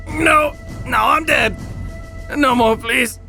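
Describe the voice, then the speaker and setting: High, gravelly, terrified prisoner. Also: male voice, sharp tone, soft background music, exaggerated voice. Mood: weary, pained. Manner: halting, stammering. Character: battered, terrified male prisoner pleading feebly. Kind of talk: anime parody dub.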